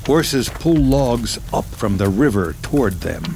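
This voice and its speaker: A man's voice: mid-range voice, big pitch swings, measured pace, vocal fry, darkish tone, slight background noise. A man narrating a screenplay in the present tense, as dramatic scripted storytelling.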